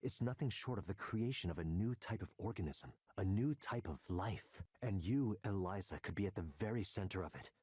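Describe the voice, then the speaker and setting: An actor playing a grandiose, persuasive tech executive in a visual novel game, speaking in a measured, insistent salesman's manner. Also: low-pitched, animated, darkish tone, husky, no room sound, clean studio sound. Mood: visionary fervor. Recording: music behind the voice.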